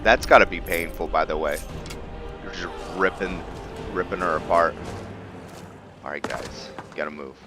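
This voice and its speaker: A man's voice: low-pitched, moderate pace, pauses often. A man giving casual let's play commentary as a gaming video host, laid-back and thinking aloud. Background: game music.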